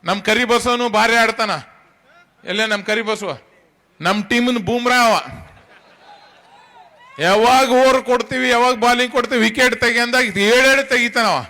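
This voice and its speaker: A middle-aged man with a North Karnataka accent delivers a public speech as the organiser of an event. His voice sits high and has a bright, forceful edge. He speaks in an emphatic, colloquial way, addressing his listeners directly. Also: level tone, moderate pace, long pauses. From Karnataka, India. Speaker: tournament organiser.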